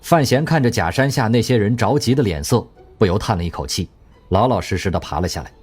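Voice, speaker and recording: A man narrating an audio drama in a wry, expressive storytelling manner. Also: lowish voice, fast talker, breathy edge. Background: faint background music.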